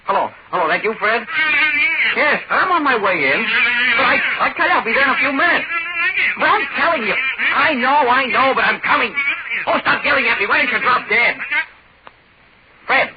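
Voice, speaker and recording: An actor playing an excitable, nervous middle-aged businessman in 1940s radio drama, stammering and protesting as he talks. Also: very high-pitched, moderate pace, long pauses. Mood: exasperated. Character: excitable bald pot-bellied little businessman, nervous and incredulous. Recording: dull, noisy 1940s radio recording.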